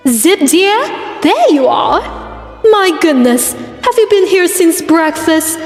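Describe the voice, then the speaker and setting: Mother queen pony, female, cartoon dub, woman actor: doting, brisk, chatty, high voice with long reverb. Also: very animated, a little mellow, full character voice. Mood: doting, breezy. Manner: affectionate, chatty, motherly fussing. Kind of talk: cartoon voice acting in a comic dub. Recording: very echoey, music underneath.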